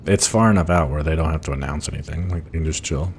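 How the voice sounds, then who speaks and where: Groggy low-voiced man, fast talker. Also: a little hiss or hum. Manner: blunt, sounds annoyed even when not. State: groggy, just woke up. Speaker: speedrunner streaming a game. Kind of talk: casual live-stream commentary.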